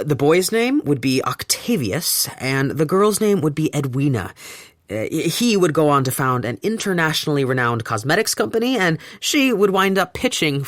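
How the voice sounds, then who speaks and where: A young man performing first-person audio drama narration as a man recounting his relationship during lockdown. He speaks in a wry, gently amused and reflective way. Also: fairly high pitch, no room sound, studio quality.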